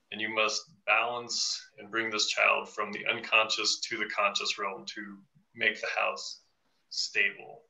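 A man's voice: on the low side, creaky, pushed and bright. A young man in a group video call, thoughtfully working through an interpretation of a dream. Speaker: participant. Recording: voice distant from the mic.

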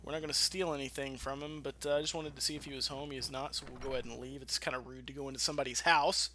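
A man's voice: medium pitch, little pitch movement, rapid-fire, pushed and bright, a little hiss or hum. A man hosting a gaming channel, giving casual, rambling let's-play commentary with informal, sweary talk. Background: game music.

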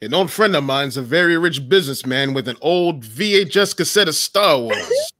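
Man acting a bright, high-pitched suspicious scoundrel. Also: expressive, normal speed, no room sound, clean studio sound. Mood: scheming. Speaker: actor in live script table read. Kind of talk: comic table-read acting. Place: home room, video call. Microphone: desk microphone, close.

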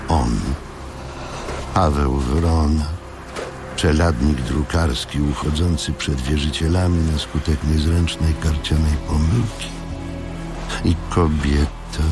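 A man reading scripted literary narration in a measured way, with dramatic pauses. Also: extremely low pitch. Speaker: audiobook narrator. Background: music.

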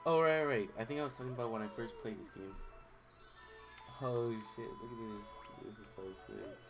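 Rambling young man streaming a game. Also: on the low side. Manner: rambling, distracted, swears casually. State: voice recovering from strain. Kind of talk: casual gameplay commentary. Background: game music.

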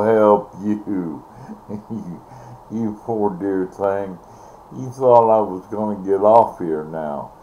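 An older man with an American accent chatting casually to viewers, halting and teasing, at a computer in a bedroom. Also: on the low side, mellow, muffled recording. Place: small bedroom, indoors. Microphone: computer mic at close range. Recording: faintly noisy home computer recording.

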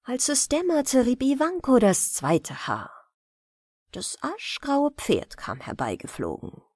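A woman narrating a bedtime fairy tale as a storyteller, calm, measured and expressive. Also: high-pitched, breathy edge, no room sound, studio quality.

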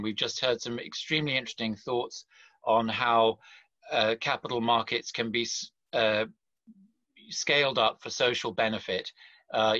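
A man's voice: mid-range voice, pushed and bright, no echo, muffled recording. A middle-aged man, an editor hosting a virtual forum interview over a video call, polite and hesitant, with many fillers.

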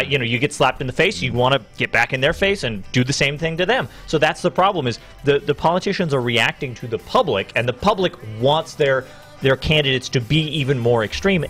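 A man's voice: medium pitch, a bit bright. An American man in his thirties on a radio panel, explaining politics and restating his points for emphasis. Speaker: talk show panelist. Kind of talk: political discussion. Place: radio studio. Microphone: close broadcast microphone on boom arm.

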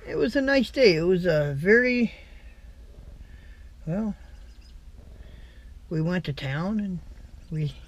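A middle-aged American man vlogging casually about his day, relaxed and chatty, filming himself in his vehicle and on a porch. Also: high-pitched, slow, long pauses, slight background noise. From USA. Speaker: trucker vlogger. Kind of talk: casual vlog chat. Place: in a parked vehicle, on a home porch outdoors. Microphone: camera mic close up.

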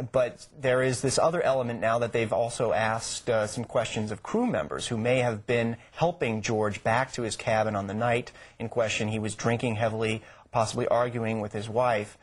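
A male news guest with a husky, fairly low voice. He gives measured, halting commentary in a television studio. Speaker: news show guest reporting on a case. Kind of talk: live interview commentary.

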